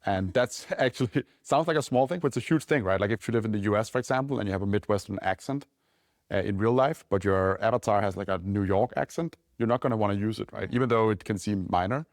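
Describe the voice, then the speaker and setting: A man's voice: lowish voice, no room sound, studio quality. A man with a Danish accent, a company founder answering questions in a casual podcast interview, talking loosely with lots of "like" and "right?". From Denmark. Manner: quick, loose talk full of "like" and "right?".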